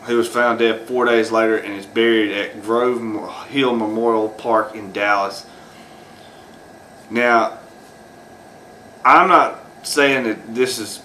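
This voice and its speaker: A man's voice: mid-range voice, a little slow, pushed and bright, a little hiss or hum, low-quality recording. A man talking off the cuff as host of his own video, rambling with many fillers and restarts. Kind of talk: unscripted solo commentary.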